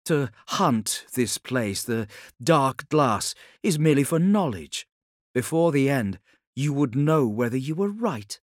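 A man's voice: mid-range voice, big pitch swings, slow, no echo, studio quality, pitched above the performer's own voice. A man voicing an earnest woman counsellor in an audiobook, direct and insistent as she questions her lord. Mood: earnest realisation.

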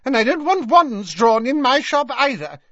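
A flustered, motherly shopkeeper woman from a storybook, played by a male reader. The voice is pitched far up and flutters with anxious fussing. The sound is dry and a little muffled. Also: very animated, a little slow, fairly bright tone, no room sound, exaggerated voice. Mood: indignant. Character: flustered, fussy middle-aged woman shopkeeper.

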